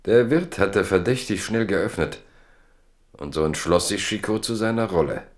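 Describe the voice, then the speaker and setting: A man narrating a literary radio play in German, telling the story in measured, dramatic phrases with pauses. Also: fairly low pitch, animated.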